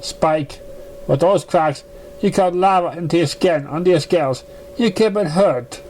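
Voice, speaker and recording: A man's voice: on the high side, moderate pace, darkish tone, slight background noise, voice pushed up, lightly acted. A man voicing a caring but reproachful female pony, worried over a youngster, in a read-aloud story. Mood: alarmed scolding.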